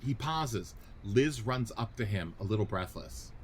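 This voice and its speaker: A man's voice: on the low side, animated, unhurried, a little hiss or hum. A man giving scripted narration of stage directions and scene headings for a radio-play reading.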